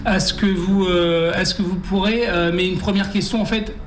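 A man's voice: sits high, steady tone, normal speed, slight background noise. Man interviewing from a distance, roomy sound. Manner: meandering, hesitant questions. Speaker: radio interviewer. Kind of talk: radio interview.

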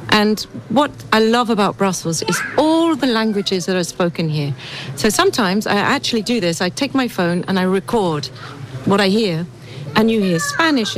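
A woman's voice: on the high side, rough recording. An English woman in her fifties, talking enthusiastically and chattily in a street interview, often restarting her sentences. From England.

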